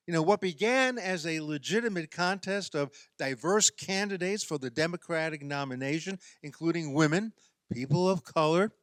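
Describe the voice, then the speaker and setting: A man delivering a sermon as a minister, in measured, emphatic oratory with wry, dry humour. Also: fairly high pitch, fairly bright tone, dry recording.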